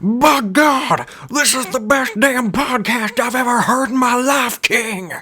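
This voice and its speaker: A woman voicing an excitable wrestling commentator, proclaiming amazement with slow emphasis. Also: a little high. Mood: mock awe.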